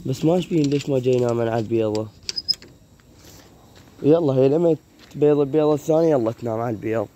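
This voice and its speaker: Man, iraqi arabic accent, hoarse voice, casual vlog chat, phone camera, rooftop outdoors, faint insect noise. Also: medium pitch, fast talker, pauses often, dark tone. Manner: chatty, talks to the viewers while filming. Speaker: pigeon keeper vlogger. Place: rooftop pigeon loft outdoors. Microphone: phone camera held while walking.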